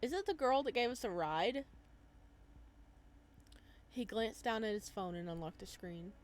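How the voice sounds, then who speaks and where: A woman's voice: medium pitch, expressive, a little slow. Chatty woman reading a visual novel. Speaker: gaming video host. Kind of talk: visual novel read-aloud with casual commentary.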